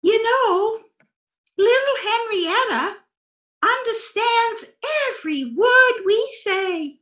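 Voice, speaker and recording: Sweet scatterbrained wife, bright piercing girlish pitch, cooing, old-time radio comedy, roomy echo. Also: expressive, slow, heavily acted. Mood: sweetly innocent. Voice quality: very high, girlish. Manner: cooing, innocent. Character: ditzy, sweet, cheerful wife doting on a pet duck.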